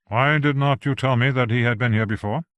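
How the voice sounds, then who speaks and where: A man voicing a reserved gentleman husband who speaks in a measured, dry, subdued way. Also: mid-range voice, no room sound, muffled recording, lightly acted.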